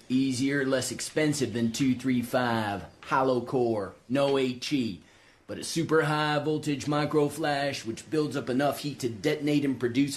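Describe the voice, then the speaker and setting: An actor playing a folksy, swaggering American military scientist in a radio drama, breezy and glib with an American accent. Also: male voice, medium pitch, natural voice. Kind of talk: British radio drama acting.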